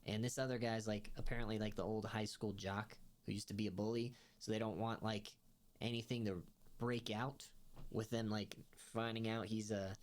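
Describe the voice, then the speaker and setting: A man's voice: on the low side, flat delivery, normal speed. A young man giving a casual spoken review of a comedy series, rambling with lots of fillers and laughing as he talks. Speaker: show reviewer.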